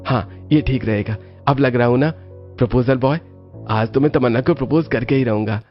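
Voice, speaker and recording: A man's voice: medium pitch, moderate pace, dull audio, soft background music, natural voice. A man voicing a helpful, lovestruck young man in a cartoon story. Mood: eager, musing.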